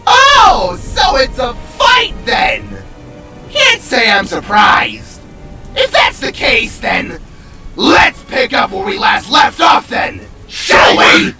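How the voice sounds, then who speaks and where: An unhinged glitching demon villain from an audio story, bombastic and mocking, with a slow, drawn-out delivery and a sharp, torn rasp that tips into screaming. The voice sits a little far from the mic, with music behind it. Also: male voice, very high voice, wildly swooping pitch, exaggerated voice. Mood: gleeful, manic. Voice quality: torn, raspy screaming edge.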